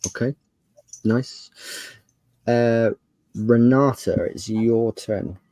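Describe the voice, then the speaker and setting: A man narrating a tabletop game as game master, using dramatic pauses and emphasis as he describes scenes. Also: mid-range voice, dark tone, dry recording, poor audio.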